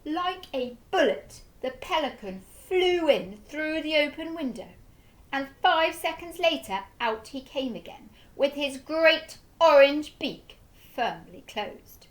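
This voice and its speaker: Expressive woman reading a storybook. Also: high voice, slow, rough recording. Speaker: teacher. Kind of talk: reading a children's story aloud.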